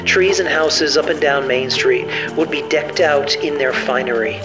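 A man's voice: a little high, measured pace, music in the background, close to an everyday voice. A man playing a put-upon young survivor narrating his journal in a scripted audio drama. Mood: wistful, wry.

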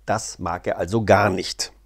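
A man's voice: sits low, steady tone, a little slow. A man gives a casual, unscripted explainer in a chatty, colloquial way with wry asides. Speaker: vlogger and audio drama reviewer. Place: living room, seated on a sofa indoors. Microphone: clip-on lapel mic.

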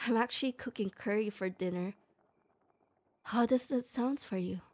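Young woman, ASMR roleplay, close mic, shy hesitant delivery, slightly high voice. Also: moderate pace, darkish tone. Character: shy tomboy girl, gruff but kind. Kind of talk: ASMR roleplay, speaking to the listener.

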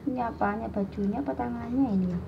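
A woman's voice: on the high side, quick pace, creaky. A woman, a mother casually coaxing her child through a craft at home, asking question after question. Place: tiled floor indoors at home. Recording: muffled home video with background noise.

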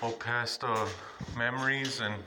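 Rambling man touring a dark ruin. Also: medium pitch, flat delivery, measured pace, background noise. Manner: rambling, repetitive pointing out spots. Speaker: urban explorer vlogger. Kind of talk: casual walkthrough narration. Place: dark abandoned building, indoors. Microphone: handheld phone camera.

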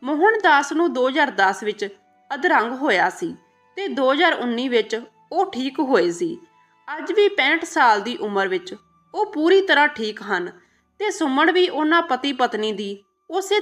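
High, lively woman storyteller. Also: moderate pace, faint music behind. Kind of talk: scripted narration of an emotional moral story.

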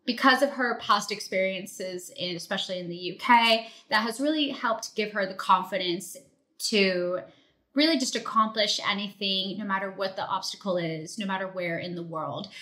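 A woman's voice: medium pitch, moderate pace, pushed and bright. A woman hosting a podcast, introducing and interviewing in a conversational style. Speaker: podcast host.

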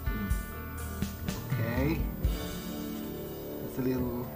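A man's voice: mid-range voice, steady tone, extremely slow. A man thinking aloud in character as he plays a game, musing and hesitant as if talking to himself. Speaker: gamer playing in character. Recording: loud music behind the voice. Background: game music.

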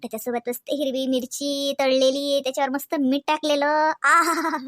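Very high-voiced, playful young housewife played by a woman. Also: level tone, moderate pace, a bit bright, dry recording, clean studio sound. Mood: musing, playful. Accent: Varhadi Marathi from Vidarbha. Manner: chatty, dramatic, giggly. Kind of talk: comedy cartoon acting.

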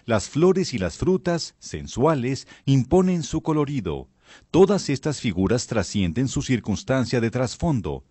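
A man reading a scripted documentary narration in a measured, expressive way. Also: medium pitch, breathy edge, no room sound.

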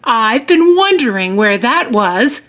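Storybook little girl, adult female reader: gushing, breathless, repetitive, sharp bright tone, big pitch swoops. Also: sing-song, takes it slowly, full character voice. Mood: delighted. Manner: breathless, squealing, repeats herself. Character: excitable little schoolgirl, eager and gushing.

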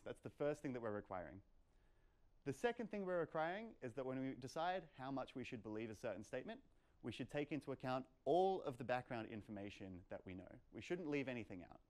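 A man's voice: medium pitch, a little mellow. A young man presenting informally as a lecturer, explaining emphatically and posing rhetorical questions. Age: young adult. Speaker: lecturer at a meetup. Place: lecture room, indoors.

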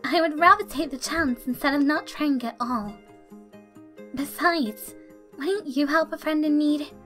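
Woman as a very high-pitched young superhero girl. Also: measured pace, fairly bright tone. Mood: earnest. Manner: gasping, exclamatory. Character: anxious, determined teenage superhero girl. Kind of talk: animated comic dub acting. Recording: music behind the voice.

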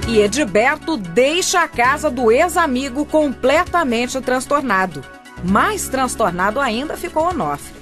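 A woman's voice: high-pitched, expressive, unhurried, music in the background. A woman with a Brazilian accent narrating a radio soap dramatically.

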